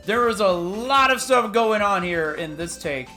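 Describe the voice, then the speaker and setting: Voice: mid-range voice, lively intonation, a little slow, sharp tone, soft background music. A video host giving casual, rambling reaction commentary, often repeating "like".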